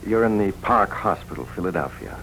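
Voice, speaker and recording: Deep-voiced, soothing doctor. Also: male voice, animated, fairly bright tone, poor audio. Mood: matter-of-fact. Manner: measured, soothing, professional. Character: calm, reassuring male hospital doctor. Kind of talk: 1940s radio drama acting. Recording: faintly hissy 1940s radio recording.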